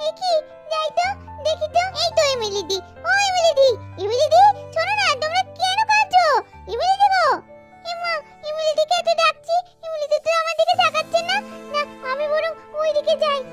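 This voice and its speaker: A woman's voice: extremely high pitch, fairly quick, faint music behind. A woman voicing the bewildered, innocent ghost of a little girl in a doll-story cartoon, pleading childishly and repeating her calls.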